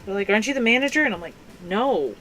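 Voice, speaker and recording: A woman's voice: medium pitch, big pitch swings, fast talker, a bit bright, a little hiss or hum, low-quality recording. A young woman hosting a crafting stream, chatting casually and rambling with lots of fillers. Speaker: crafting channel host. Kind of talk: casual chat while crafting.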